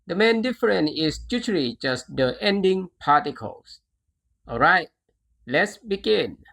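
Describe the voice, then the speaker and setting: A language teacher giving a scripted lesson, enunciating deliberately and repeating phrases syllable by syllable before translating them. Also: mid-range voice, lively intonation, vocal fry, a bit bright.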